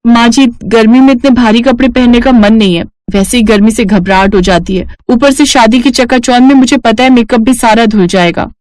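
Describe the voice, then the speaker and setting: A woman's voice: fairly high pitch, a little fast, hardly any pauses, darkish tone, natural voice. A woman playing a reluctant, fretful young city daughter-in-law who complains, in a cartoon family story. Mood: complaining.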